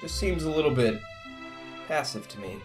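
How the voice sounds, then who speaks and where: A man's voice: a little high, expressive, moderate pace, close to an everyday voice. A man playing an adventurous, earnest young crewman in a science fiction audio drama, talking in a casual way. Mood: persuasive, earnest. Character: adventurous young spaceship crewman, earnest persuader with a haunted past. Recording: music playing under the dialogue.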